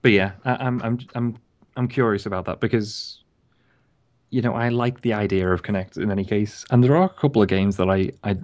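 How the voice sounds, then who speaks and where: A man's voice: on the low side, a little fast, a little mellow. A man co-hosting a podcast, rambling hesitantly in casual chat about games. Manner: rambling, hesitant, many fillers and repeats. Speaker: podcast co-host.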